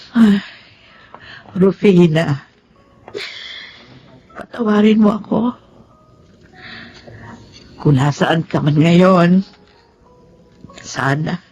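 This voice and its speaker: A woman's voice: on the low side, mellow, dull audio. An actress playing a remorseful older woman telling her life story in a Filipino radio drama, emotional and pleading.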